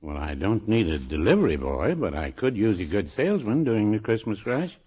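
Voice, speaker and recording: An actor playing a dry, mild older druggist with a deadpan, teasing delivery in a 1950s radio comedy. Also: male voice, lowish voice, brisk, husky. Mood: mild, reminiscing. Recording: dull old radio recording.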